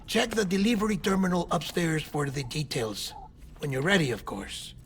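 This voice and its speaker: A man's voice: on the high side, moderate pace, a little hiss or hum, close to an everyday voice. A man voicing a wry, articulate mission advisor in a video game cinematic, confiding, with rain behind him.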